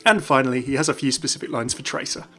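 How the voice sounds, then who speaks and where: A man's voice: mid-range voice, quick pace, pushed and bright, soft background music. A man hosting a gaming video with enthusiastic scripted narration.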